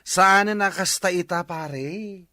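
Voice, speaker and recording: A man's voice: sits high, slow pace, pushed and bright, no room sound, clean studio sound, exaggerated voice. A man with an Ilocano accent acting a slack, boozy, teasing village husband in a comedy skit. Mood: mocking. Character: slack, boozy village husband living off his wife's remittances.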